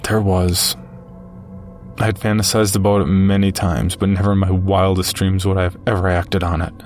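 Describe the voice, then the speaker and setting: A man playing a narrator who recounts a frightening encounter with a monster in measured, grave, scripted first-person storytelling. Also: low voice, level tone, a little mellow, natural voice. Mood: grave, uneasy. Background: music.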